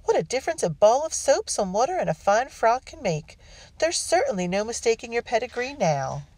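A cooing, genteel colonial matron, doting and fussy, fills a storybook scene, as a woman reads her. Her voice rides noticeably higher than a plain reading voice. The recording is close and dry. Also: sing-song, brisk, darkish tone, no room sound, low-quality recording. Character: fussy doting loyalist colonial mother, middle-aged woman.

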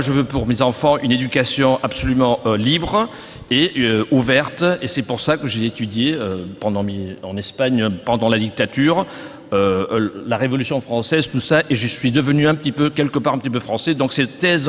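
A middle-aged man with a Spanish accent speaks frankly from a conference panel, stopping now and then to hunt for a French word. His voice sits at an ordinary pitch. It carries a long reverb from a large hall. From Spain. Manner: frank, hesitant, searching for French words. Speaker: panel guest, gynecologist. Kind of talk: panel discussion. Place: conference stage. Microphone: stand mic at table.

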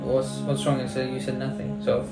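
A man's voice: medium pitch, little pitch movement, brisk, creaky. A man in his thirties chatting casually with his wife on a sofa at home, giving short, dry replies. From India. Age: 30s. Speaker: vlogger co-host. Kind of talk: casual chat. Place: living room sofa, indoors. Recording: roomy with background music.

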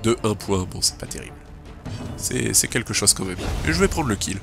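A man narrates his game run in a relaxed, thinking-aloud way, full of fillers and stray English gaming terms. His voice is low and gravelly, a little stuffed up from a cold. Game music plays clearly underneath. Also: fairly quick. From French-speaking. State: has a cold. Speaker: let's play gamer. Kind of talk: casual gameplay commentary.

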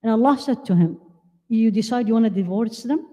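An older woman born in Iraq, giving an animated religious lecture at a women's conference, holding a handheld mic and asking the audience questions. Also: fairly high pitch, brisk. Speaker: Islamic lecturer. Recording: long hall reverb.

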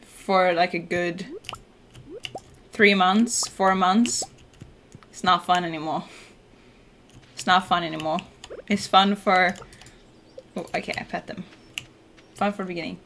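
A woman's voice: fairly low pitch, slow pace, creaky, pauses often, a little hiss or hum. Chatty Norwegian woman streaming a game. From Norway. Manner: relaxed, chatty, many "like" fillers, swears casually. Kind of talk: casual chat while gaming. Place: home room, indoors. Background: soft game music.

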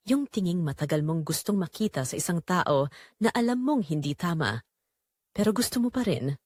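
A woman reading a scripted first-person dramatic story in a measured, expressive storytelling manner. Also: on the low side, dry recording, clean studio sound. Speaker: narrator.

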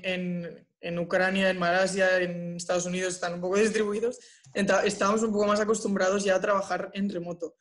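Young female interviewee, conversational, little pitch movement, recorded at home over a video call. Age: young adult. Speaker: interviewee, tech professional.

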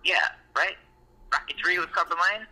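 A man's voice: sits high, normal speed, pushed and bright, breathy edge, poor audio. A man hosting a casual podcast, joking around, teasing his co-host and laughing often. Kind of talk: casual chat.

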